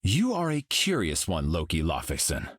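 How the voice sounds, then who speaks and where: An actor voicing a serene, solemn golden cosmic hero for a video game, speaking in a calm, measured, grave way. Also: male voice, deep voice, breathy edge, no room sound, studio quality, full character voice.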